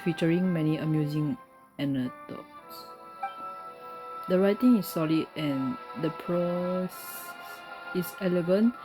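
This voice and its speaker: Low-voiced woman playing a game. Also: rough recording. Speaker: gamer playing and commenting. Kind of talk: casual gameplay commentary and reading text aloud. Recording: game music behind the voice. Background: game music.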